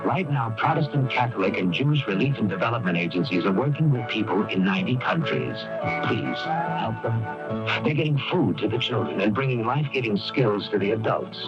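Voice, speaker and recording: A man's voice: lowish voice, animated, fairly quick. A man reading a scripted radio public service appeal in an earnest announcer style. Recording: dull radio broadcast with loud music. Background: music.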